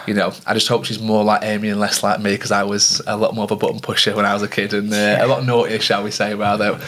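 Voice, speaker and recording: A man's voice: fairly low pitch, monotone, quick pace, fairly bright tone. A man hosting a podcast, asking questions and encouraging his guest in casual chat. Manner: encouraging, affirming interviewer. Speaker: podcast host.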